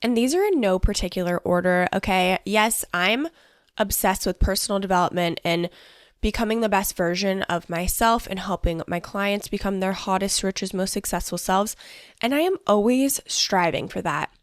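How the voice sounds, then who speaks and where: A woman's voice: medium pitch, moderate pace, dry recording, clean studio sound. Bubbly young woman with a stuffy nose. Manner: bubbly, chatty, fillers like "like" and "honestly". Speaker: podcast host. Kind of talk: casual solo podcast chat.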